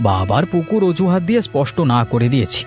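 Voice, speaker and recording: A man's voice: medium pitch, big pitch swings, quick pace, darkish tone, close to an everyday voice. A man voicing a simple, trusting village husband in a cartoon story, speaking in a mild, hesitant manner. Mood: unsure. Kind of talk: Bengali cartoon story voice acting. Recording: music bed under the voice.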